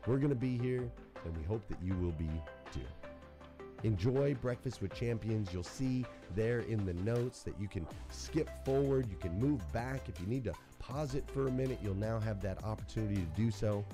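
A man's voice: fairly low pitch, moderate pace. A man hosting a podcast and delivering an upbeat, motivational intro speech. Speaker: podcast host. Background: music.

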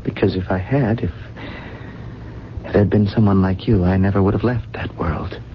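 An actor in a radio drama playing a gentle, detached, wistful man without a past, speaking in a musing, halting way. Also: on the low side, lightly acted. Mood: wistful, tender. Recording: dull, noisy 1970s radio recording.

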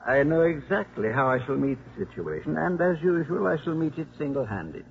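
An actor playing an elderly, stubborn and wry college priest in 1950s radio drama style, with dry, emphatic delivery. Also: male voice, fairly high pitch, expressive, fairly quick, gravelly, a little hiss or hum. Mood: wry, confident. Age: older. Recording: muffled 1950s radio broadcast.

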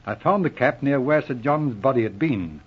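Shrewd, husky police inspector. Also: male voice, medium pitch, lively intonation, normal speed. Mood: explaining. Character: shrewd, self-assured male police inspector questioning suspects. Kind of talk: 1940s radio drama acting. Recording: muffled old radio broadcast recording.

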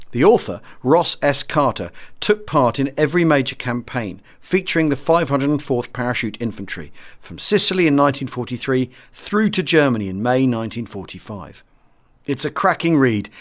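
Man with lively podcast intro delivery. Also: medium pitch. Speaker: podcast host.

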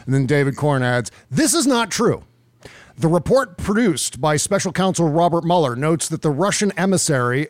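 An American man with a slightly high voice co-hosts a casual political show. He talks in a joking, irreverent way. The sound is dry, with little room in it. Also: darkish tone, no echo. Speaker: podcast co-host. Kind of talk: casual political talk with clips and quoted articles.

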